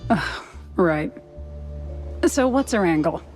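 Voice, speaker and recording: Sardonic newshound dame. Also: female voice, on the low side, lively intonation, slow, a little mellow, faint music behind. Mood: sardonic, exasperated. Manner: teasing, arch, sarcastic. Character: sharp-tongued, sardonic female newshound in a noir cartoon world. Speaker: reporter character. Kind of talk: noir video game dialogue.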